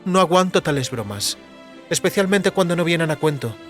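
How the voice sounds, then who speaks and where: Actor as haughty, teasing sorceress over music. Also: female voice, on the low side, lively intonation, fairly quick, lightly acted. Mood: indignant, lecturing. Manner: imperious, ornate, lecturing. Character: haughty, teasing sorceress lover, woman, demanding compliments. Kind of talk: audiobook drama acting. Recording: music behind the voice.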